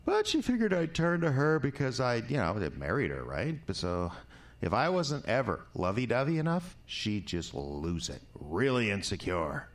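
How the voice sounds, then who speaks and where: An actor playing an unstable, bitter drunk ex-husband who rambles crudely and menacingly in an audio drama. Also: male voice, mid-range voice, wildly swooping pitch, moderate pace. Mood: bitter, confiding.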